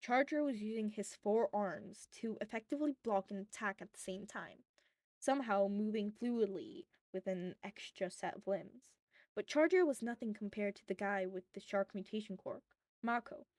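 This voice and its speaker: A woman's voice: mid-range voice, moderate pace, a little mellow, no echo. A woman reading fan fiction aloud as scripted, audiobook-style narration.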